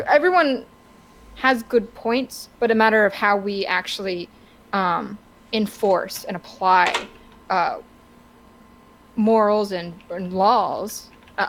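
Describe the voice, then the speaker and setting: A woman co-hosting a casual podcast, talking through her opinions in a halting, wordy way as she searches for words. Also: mid-range voice, low-quality recording. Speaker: podcast co-host.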